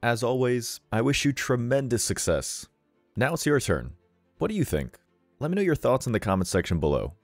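Man, scripted reaction commentary, sarcastic, blunt, animated intonation, very dry close sound. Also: medium pitch, moderate pace, no room sound, faint music behind. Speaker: commentary show host.

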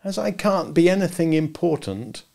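A man's voice: on the high side, animated, gravelly, a little mellow, voice pushed up. A man doing a humble, mournful little boy. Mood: glum. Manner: diffident, slow and heavy.

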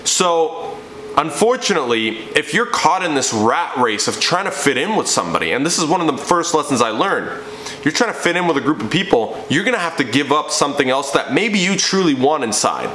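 A man's voice: fairly high pitch, big pitch swings, a little fast, sharp tone, slight background noise. A young man and fitness coach telling his personal story to the camera from a living room, emphatic and preachy. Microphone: camera in front, seated framing. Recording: echoey room with faint ambience.

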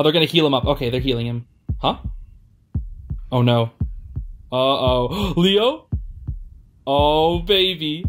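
A young adult hosting casual gaming and reaction commentary, gushing in slangy exclamations. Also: mid-range voice. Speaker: host of a gaming reaction channel. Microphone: home recording on a makeshift travel setup. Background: music.

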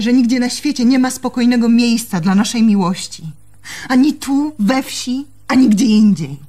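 A troubled young woman in love in a radio drama. Her voice sits high, and she confides in an intimate, brooding, literary way, haunted and anxious. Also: mellow. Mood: brooding, yearning. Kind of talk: Polish radio play acting.

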